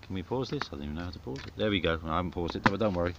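A man's voice: sits low, expressive, racing, vocal fry. A middle-aged man giving casual, playful commentary on a home video in a jokey, mock-dramatic way. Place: small back garden, outdoors. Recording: muffled, noisy outdoor camera audio with clicks.

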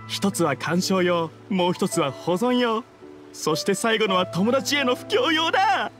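An earnest male townsperson talks in a high voice for a man. He sounds like a devoted fan in an anime game, with music behind him. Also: sing-song, a little slow. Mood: proud, enthusiastic. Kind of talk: anime video game acting.

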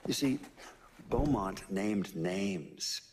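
An older investigator recounts a case documentary-style in a game. His voice is deep, measured and hesitant, over some background noise. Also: lively intonation, darkish tone. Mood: grave, measured. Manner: measured, hesitant, matter-of-fact. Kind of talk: mock documentary interview acting.

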